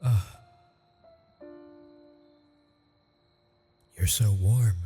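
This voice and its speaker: A man playing a lonely, tender ghost lover in a romantic ASMR roleplay, speaking haltingly and intimately in a breathy, husky voice. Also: lowish voice, warm tone. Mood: tender, yearning. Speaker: audio roleplay performer. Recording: long reverb with music behind.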